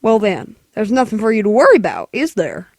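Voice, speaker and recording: A woman voicing a gruff, dismissive, weary city police sergeant in a 1940s radio play, with brusque, patronizing delivery. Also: mid-range voice, wildly swooping pitch, a little fast, darkish tone, dry recording, clean studio sound. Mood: impatient.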